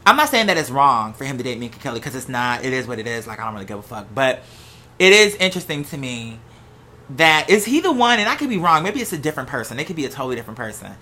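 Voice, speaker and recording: An American host talking in a casual, unscripted monologue, with an animated, sassy, slangy way of telling stories. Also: mid-range voice, quick pace. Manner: animated, sassy, slangy storytelling with acted-out quotes. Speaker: host of a gossip and commentary show.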